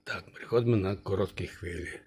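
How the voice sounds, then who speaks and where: A man presenting a casual hands-on demonstration of a vintage radio he restores, speaking haltingly and muttering to himself as he works. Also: low-pitched, fairly even intonation, a little mellow.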